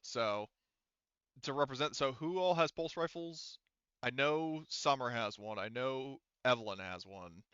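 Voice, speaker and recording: A man running a tabletop game as game master, explaining rules casually in a halting, self-correcting way. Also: medium pitch, a bit bright, smooth, no room sound, lo-fi sound.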